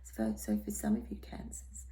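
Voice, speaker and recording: A hoarse, croaky woman giving a tarot reading in a soft, half-whispered, reassuring way. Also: normal speed, slight background noise. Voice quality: croaky, throat-clearing. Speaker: tarot reader. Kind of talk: casual tarot reading monologue.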